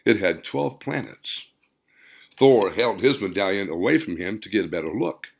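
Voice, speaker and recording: A man's voice: fairly low pitch, expressive, moderate pace, creaky. A man reading a scripted story aloud as an audiobook narrator. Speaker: author reading own audiobook.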